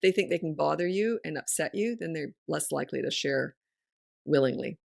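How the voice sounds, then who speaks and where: A middle-aged woman with a fairly low voice gives frank, no-nonsense parenting advice in a casual podcast chat. Her delivery is blunt and direct. The recording is dry and close, with no room sound. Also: fairly quick, creaky, darkish tone, no echo. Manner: blunt, no-nonsense, laughs often. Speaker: parenting coach and podcast host.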